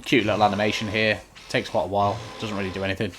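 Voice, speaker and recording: A man with a fairly low voice talks viewers through a video game. His commentary is casual and instructional, matter-of-fact and reassuring. There is clear noise and some clicking behind him. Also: level tone, racing. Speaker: gaming video host giving a walkthrough. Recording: clearly noisy home recording.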